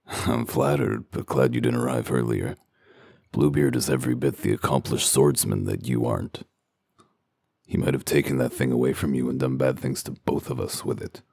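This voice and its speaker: A man voicing a gruff, sardonic werewolf detective in a comic dub, with a growling, commanding delivery. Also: moderate pace, darkish tone, no room sound, full character voice. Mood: dry sarcasm. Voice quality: very deep, gravelly growl. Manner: dry, sarcastic, commanding.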